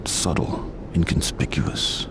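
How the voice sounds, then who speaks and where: An actor playing a grim, menacing vigilante in a video game, terse and deadpan with dry sarcasm and a gravelly voice. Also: male voice, slow, noisy background, close to an everyday voice. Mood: dryly sarcastic. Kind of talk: video game cutscene acting. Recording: loud music under the voice.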